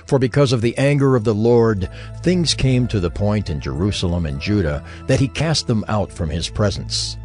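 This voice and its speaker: A man narrating a dramatized scripture reading in a measured, formal manner. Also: lowish voice, lively intonation, darkish tone. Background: music under the voice.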